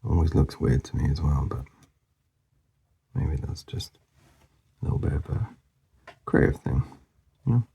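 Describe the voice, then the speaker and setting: A man with a very deep voice gives loose, rambling commentary while he draws. He mumbles softly and often sinks into a near whisper, and his voice is wearing out. The tone is very gravelly and quite dark, with a breathy, husky edge. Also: animated, unhurried. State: losing his voice. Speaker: artist demonstrating a drawing. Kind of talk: casual drawing commentary.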